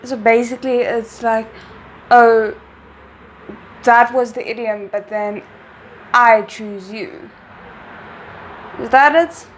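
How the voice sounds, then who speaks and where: A woman's voice: fairly high pitch, steady tone, takes it slowly, long pauses, slight background noise. A young woman hosting a reaction video, chatting casually and laughing often between remarks.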